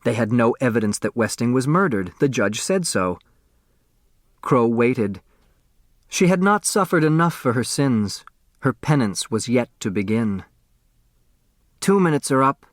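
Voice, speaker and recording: A man reading a novel as an audiobook narrator, with dramatic, expressive scripted delivery. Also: medium pitch, measured pace, dry recording, clean studio sound.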